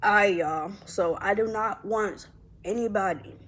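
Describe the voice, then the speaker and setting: A young woman vlogger who stutters, talking haltingly to her viewers with many pauses and restarts, calling them y'all. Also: mid-range voice, background noise. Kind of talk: personal vlog, talking to viewers.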